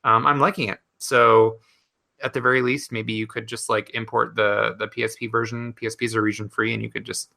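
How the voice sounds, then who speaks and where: A man's voice: mid-range voice, level tone, fairly quick, gravelly, bright, forceful tone. A man co-hosting a gaming podcast, talking casually and enthusiastically with many fillers. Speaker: podcast co-host. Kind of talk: casual chat.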